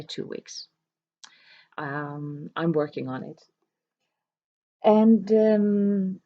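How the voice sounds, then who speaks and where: A woman whose first language is Norwegian, an author talking to camera in a slow, hesitant way with many pauses and fillers. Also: lowish voice, dark tone, muffled recording. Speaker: self-published author. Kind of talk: reflective talk to camera.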